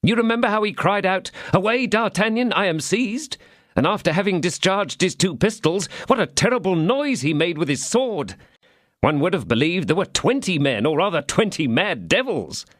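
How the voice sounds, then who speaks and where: A man voicing an excitable, loyal servant who recounts a fight eagerly. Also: sits high, moderate pace, no room sound, clean studio sound, pitched above the performer's own voice. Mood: excited.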